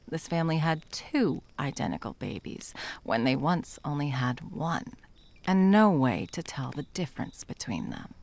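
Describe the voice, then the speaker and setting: A woman's voice: very deep voice, gravelly, full character voice. A woman playing a wry, menacing monster hunter who is sadly in love, in intimate ASMR roleplay with a theatrical, sardonic delivery that dips into whispers.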